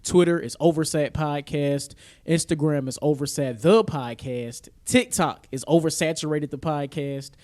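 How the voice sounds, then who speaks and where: Higher-pitched man, casual podcast co-host. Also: moderate pace, darkish tone. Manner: informal, slangy, easygoing. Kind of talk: casual chat about pop culture.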